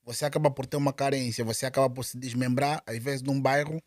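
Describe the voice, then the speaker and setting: A man's voice: medium pitch, fairly even intonation, fast talker, no echo, clean studio sound. A man with an Angolan Portuguese accent, talking as an interview guest and often stumbling and repeating words. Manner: hesitant, with many repeated words and restarts. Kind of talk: casual interview conversation.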